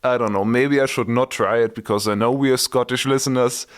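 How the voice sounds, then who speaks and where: A man's voice: medium pitch, steady tone, a little fast. A man with a German accent chatting casually as a podcast co-host, with dry humor and frequent laughs. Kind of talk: casual chat.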